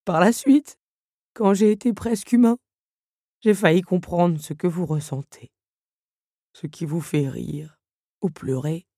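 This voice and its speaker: A woman's voice: on the low side, warm tone, no room sound, studio quality. A woman voicing a fragile, tearful male automaton who stammers and sobs as he speaks, in an audiobook. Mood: wistful. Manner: sobbing, halting, stammering. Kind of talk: audiobook character voice.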